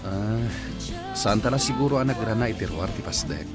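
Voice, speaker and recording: A man's voice: lowish voice, expressive, a little fast, lightly acted. Casting: man as evasive family man for radio drama, placating, swinging intonation, music behind. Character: evasive, placating middle-aged husband and father. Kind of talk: Ilocano radio drama acting.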